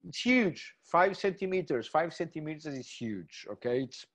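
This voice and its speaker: A man's voice: on the high side, animated, moderate pace. A middle-aged man giving an informal webinar lecture on gems, enthusiastic and repeating words with many fillers. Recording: slightly dull webinar audio.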